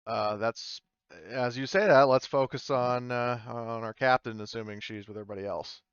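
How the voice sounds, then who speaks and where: Male game master, smooth and dry-sounding, halting casual delivery at a tabletop game. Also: medium pitch, steady tone, a bit bright, no room sound, muffled recording.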